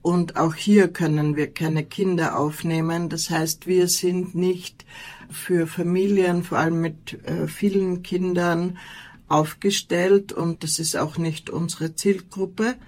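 A woman, a shelter official, explaining rules slowly and deliberately. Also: fairly low pitch, steady tone, a little mellow. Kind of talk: radio interview answers.

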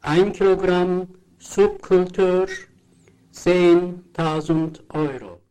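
A voice chanting short repeated phrases like a jingle, slow and monotonous. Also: medium pitch, rough recording.

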